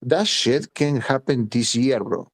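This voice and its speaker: A man's voice: medium pitch, unhurried, no room sound. Emphatic Italian man, dry voice. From Italy. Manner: emphatic, blunt and swearing, repeats himself. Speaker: voice chat participant. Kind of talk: casual crypto chat.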